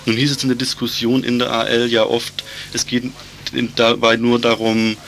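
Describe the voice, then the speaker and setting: A man interviewing on the radio about politics, framing his questions in a measured, formal way. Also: mid-range voice, completely flat delivery, fairly bright tone, a little hiss or hum.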